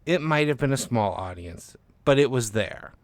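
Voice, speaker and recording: A man's voice: mid-range voice, lively intonation, moderate pace, dry recording. A man hosting a fiction podcast and reading a scripted first-person short story as narrator.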